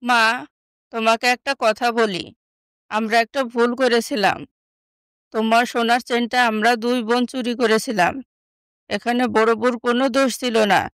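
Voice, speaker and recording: A woman's voice: on the high side, steady tone, moderate pace, long pauses, smooth, no echo, lightly acted. A woman playing a contrite young stepsister, once scheming, in a moral story. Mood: confessing, regretful. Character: scheming young stepsister, now poor and remorseful.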